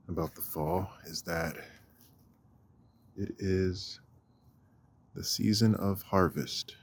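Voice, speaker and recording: Male meditation guide, very low and husky, measured and unhurried delivery with long pauses. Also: a little mellow. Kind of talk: guided walking meditation narration. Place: outdoors on a walk.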